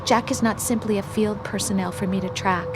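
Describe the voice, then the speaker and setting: An actress playing a young intelligence analyst in a video game, protective and headstrong, speaking in an earnest, assertive but tender way. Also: female voice, mid-range voice, fairly even intonation, a little fast, background noise, lightly acted. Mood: firm, defiant.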